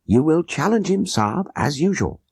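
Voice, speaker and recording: A man's voice: fairly high pitch, sing-song, normal speed, gravelly, breathy edge, dry recording, studio quality, pitched above the performer's own voice, full character voice. A man voicing a grave, persuasive Sikh guard who conspires in solemn, formal speech for an audiobook. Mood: hushed, tense.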